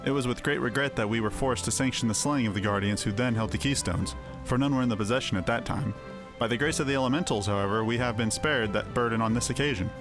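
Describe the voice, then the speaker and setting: A man acting a solemn elder in a fantasy video game, speaking in measured, archaic and formal phrasing. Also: mid-range voice, close to an everyday voice.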